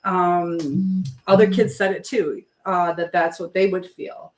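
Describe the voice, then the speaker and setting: A woman's voice: on the low side, steady tone, takes it slowly. A woman hosting a live stream in a casual, rambling chat, drifting between topics as she keeps busy with chores.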